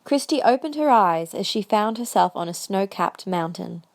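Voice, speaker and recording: A woman narrates a fan-made audio drama. Her voice sits at an average pitch as she reads the scripted story. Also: animated, moderate pace, darkish tone.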